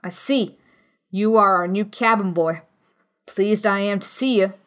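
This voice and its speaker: A woman's voice: mid-range voice, unhurried, a bit bright, lightly acted. A woman voicing a hearty, commanding male tavern landlord, an old seafarer with blustering, salty talk, in a storybook reading. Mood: genial.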